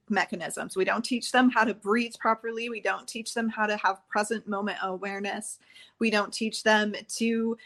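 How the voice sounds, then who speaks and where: A woman's voice: a little high, fairly bright tone. A woman hosting a podcast, a trauma practitioner explaining her views in an earnest, explanatory way. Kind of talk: conversational podcast interview.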